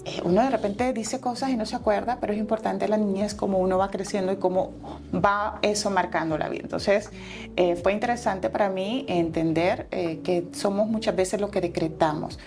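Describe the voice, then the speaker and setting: A woman's voice: medium pitch, steady tone, normal speed. A Salvadoran woman in her forties, a guest entrepreneur telling her life story in an interview, earnest and reflective, with fillers and self-corrections.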